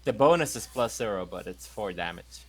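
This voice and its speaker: A man playing a tabletop game over a call, excitable and swearing freely. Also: on the low side, normal speed. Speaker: player. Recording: faint background noise.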